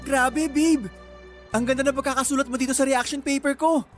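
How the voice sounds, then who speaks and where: A man's voice: very high voice, steady tone, a little fast, music in the background. A man playing a young student boyfriend, sweet-talking and pushy, in a Tagalog radio drama. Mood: sweet, grateful. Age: young adult.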